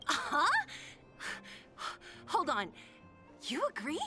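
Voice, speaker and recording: Blunt young female fighter, video game, slightly high voice, lively pitch, dry, curt, skeptical. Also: very slow, heavily acted. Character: blunt, cool-headed young woman fighter. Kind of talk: studio video game voice acting. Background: game music.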